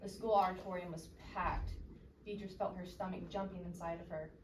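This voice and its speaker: A woman's voice: on the low side, fairly quick. A woman reading a children's story aloud expressively and interactively, recorded at a distance in an echoey, noisy room. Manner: expressive, questioning teacher-like delivery.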